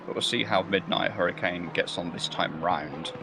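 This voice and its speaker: A man's voice: low-pitched, normal speed, background noise. A man commentating a sim race live, rambling and hesitant, with many fillers and restarts. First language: not German or Belgian.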